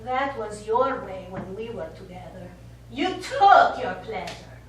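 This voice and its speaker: An actress on stage playing a middle-aged Italian war survivor, bitter and wounded, speaking deliberately and accusingly. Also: female voice, mid-range voice, sing-song. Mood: furious. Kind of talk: stage play acting. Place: small theatre stage. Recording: echoey theatre stage, distant mic, faint noise.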